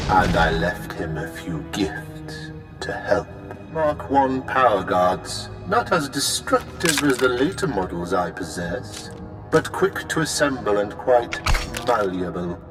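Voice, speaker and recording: An actor playing a cold, cruel Sith villain in a video game, smug and deliberate in his menace. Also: fairly low pitch, big pitch swings, music in the background. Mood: gloating. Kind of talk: video game cutscene acting.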